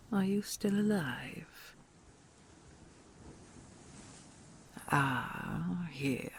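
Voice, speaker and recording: A woman voicing a kind, ancient queen dragon who whispers softly and gently, amused and patient, in an audio roleplay. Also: deep voice, a little hiss or hum, full character voice. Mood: gentle. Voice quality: breathy whispery, gravelly. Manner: slow soothing, amused, patient.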